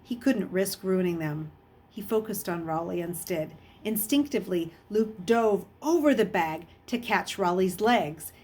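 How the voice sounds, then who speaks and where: A woman reading a children's novel aloud as an expressive storyteller, with a husky voice. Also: medium pitch, a little slow. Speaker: audiobook reader.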